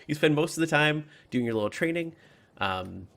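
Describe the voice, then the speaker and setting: A man's voice: on the high side, lively intonation, moderate pace, gravelly, a bit bright. A man speaking informally and chattily as a game master, narrating and commenting on a tabletop campaign.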